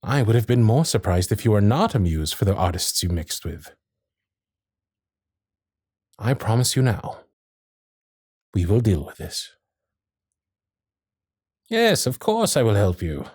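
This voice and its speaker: Low-voiced, gallant Victorian gentleman, acted by a man. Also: big pitch swings, unhurried, pauses often, slightly rough, no echo, studio quality, heavily acted. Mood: tender, reassuring. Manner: formal, genteel, old-fashioned phrasing. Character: gallant, protective Victorian gentleman suitor, courteous and indignant at villainy. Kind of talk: romantic period-drama ASMR roleplay.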